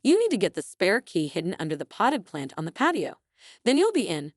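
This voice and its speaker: A woman voicing a loyal, mischievous male friend in a story, with an excited, conspiratorial manner. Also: medium pitch, brisk, dry recording, clean studio sound.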